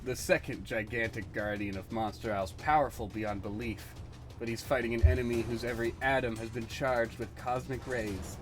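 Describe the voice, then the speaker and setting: A man's voice: medium pitch, fairly even intonation, moderate pace, soft background music. A man narrating a comic book dramatically, with a theatrical, suspenseful delivery.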